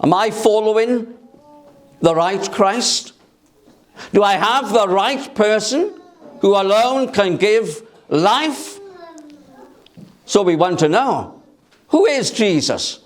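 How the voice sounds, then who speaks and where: A man preaching a sermon in a church through a microphone, speaking loudly and emphatically and asking rhetorical questions. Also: high-pitched, expressive, slow pace, long pauses. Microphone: amplified by a microphone.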